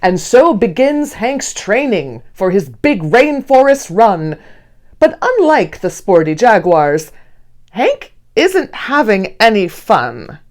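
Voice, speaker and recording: A woman reading a children's picture book aloud expressively, with deliberate storyteller phrasing. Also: mid-range voice, big pitch swings, a little mellow. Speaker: read-aloud host.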